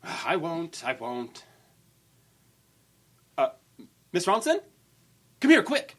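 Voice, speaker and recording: A man playing an agitated, halting newspaper office worker in an old-time radio drama. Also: on the high side, no echo, heavily acted. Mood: uneasy. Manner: halting, excitable.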